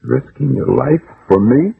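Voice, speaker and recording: An actor playing a desperate, wavering gunman still sweet on his old girlfriend, in a 1960s radio drama, with a gravelly, raspy voice. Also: medium pitch, lively intonation, phone-quality sound, full character voice. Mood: torn, anguished. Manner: tense, wavering, halting. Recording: old band-limited radio recording, music underneath.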